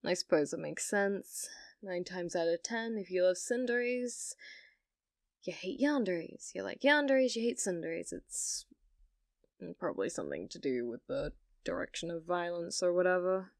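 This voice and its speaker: A woman's voice: fairly low pitch, animated, no echo, clean studio sound. A woman playing a flirty, possessive yandere rental date in an ASMR roleplay, teasing and coy, coaxing the listener. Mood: teasing, dismissive. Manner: teasing, coy, slow and deliberate, drops to whispers.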